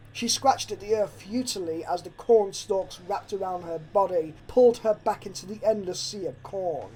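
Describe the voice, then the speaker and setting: A woman reading a horror story aloud as dramatic, expressive narration with a suspenseful delivery. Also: fairly low pitch, low-quality recording. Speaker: fan fiction narrator. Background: background music.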